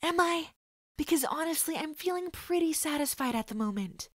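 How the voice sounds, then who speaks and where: A woman's voice: sits high, lively intonation, moderate pace, fairly bright tone, dry recording, clean studio sound, pitched above the performer's own voice. A woman voicing a defiant teenage boy hero in an audio story, cocky and taunting while bluffing through fear.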